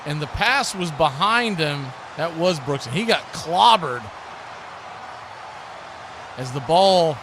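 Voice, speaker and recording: A man's voice: fairly high pitch, noisy background. A man doing live football play-by-play commentary in punchy, clipped phrases. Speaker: play-by-play sports announcer.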